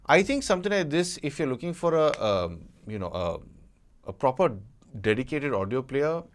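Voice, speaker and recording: Male tech reviewer, medium pitch, off-the-cuff and conversational, qualifying points as he goes. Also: very animated, normal speed. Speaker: audio gadget reviewer. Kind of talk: casual unscripted product review.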